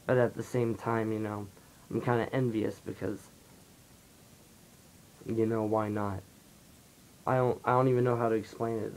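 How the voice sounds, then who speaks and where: A teenage man talking softly and rambling through a confessional vlog, his voice raspy from a dry throat. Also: on the low side, a little hiss or hum, low-quality recording. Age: late teens. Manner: soft-spoken, rambling, heavy on fillers. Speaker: vlogger. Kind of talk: confessional casual monologue.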